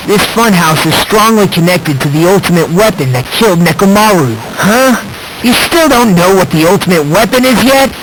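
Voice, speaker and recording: Game role: condescending teen boy, lightish high voice, theatrical and measured, eerily courteous; clearly noisy recording. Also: a bit bright. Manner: measured, theatrical, smugly polite. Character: soft-spoken, condescending, eerie teenage boy obsessed with hope. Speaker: video game character voice actor. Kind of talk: scripted game dialogue, mystery exposition.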